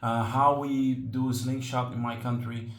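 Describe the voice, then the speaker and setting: A man with a Brazilian Portuguese accent talks casually in English at a middle pitch, often pausing on ums and restarting his sentences. His voice rings with the echo of a hard room. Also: level tone. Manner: halting, many ums and restarts. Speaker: slingshot channel host. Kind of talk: casual tutorial explanation.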